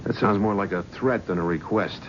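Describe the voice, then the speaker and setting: An actor with a New York accent playing a shaken, hard-drinking talent agent with a tense, defensive manner in a 1970s radio drama. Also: male voice, fairly low pitch, normal speed, natural voice. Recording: dull old radio broadcast with faint hiss.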